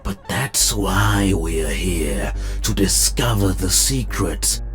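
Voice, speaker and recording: An actor playing a scarred, half-machine mad scientist killer in a comic dub, speaking with smug, theatrical menace. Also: male voice, low-pitched, lively intonation, slow pace, faint music behind. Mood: sinister, proud. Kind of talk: scripted comic dub villain monologue.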